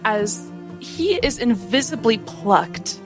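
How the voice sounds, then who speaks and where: A woman's voice: fairly high pitch, expressive, slow. A woman playing a tabletop role-playing game, talking playfully to the game master. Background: music.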